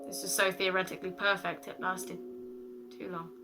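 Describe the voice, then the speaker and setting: A woman in her late teens performing spoken-word poetry, with dramatic, deliberate phrasing and repetition. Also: fairly low pitch, little pitch movement, noisy background. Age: late teens, about eighteen. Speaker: slam poet. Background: music.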